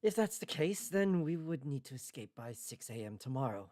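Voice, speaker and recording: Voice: medium pitch, sing-song, normal speed, dark tone, natural voice. A performer voicing a bewildered, hot-tempered young man trapped in a deadly visual novel game, questioning and agitated. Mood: puzzled.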